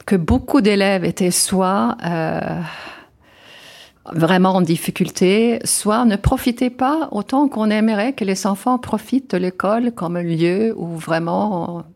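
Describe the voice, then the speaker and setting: An older woman from Sweden speaking French with a Swedish accent, answering interview questions thoughtfully, often pausing with fillers and restarts. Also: medium pitch, vocal fry, darkish tone. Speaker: guest.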